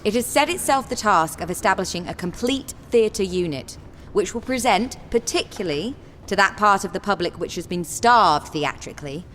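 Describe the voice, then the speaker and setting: An actress playing a brisk, decisive female theatre director in a radio drama. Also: mid-range voice, expressive, a bit bright, a little hiss or hum, lightly acted. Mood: earnest. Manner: commanding, decisive.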